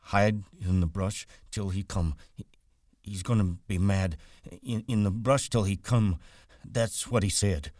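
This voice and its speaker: A man's voice: fairly low pitch, fairly even intonation, darkish tone, no echo, clean studio sound, voice pushed up, lightly acted. A man voicing a childlike, simple big man from a storybook, eager and easily panicked, with ungrammatical rustic speech. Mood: frightened, bewildered. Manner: ungrammatical rustic speech, slow-witted and repetitive.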